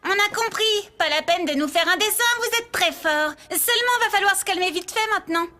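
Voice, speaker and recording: A woman's voice: a little fast, bright, forceful tone. A tiny bossy fighter girl. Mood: warning. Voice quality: high, commanding, talks like an old woman. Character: tiny teen girl martial artist, bossy, old-fashioned. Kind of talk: French anime dub acting. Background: anime music score.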